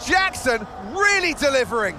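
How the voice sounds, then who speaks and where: An actor playing an excited lead motor race commentator, dramatic and projected, in live sports style. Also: male voice, extremely high pitch, normal speed, raised voice, heavy background noise. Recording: music behind the commentary.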